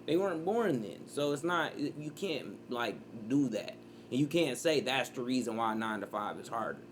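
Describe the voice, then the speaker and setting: A man in his early thirties streams his reaction in loose, rambling commentary. His voice sits at an ordinary pitch and now and then jumps into loud, excited outbursts. A faint hiss runs under the sound. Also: normal speed. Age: 30s.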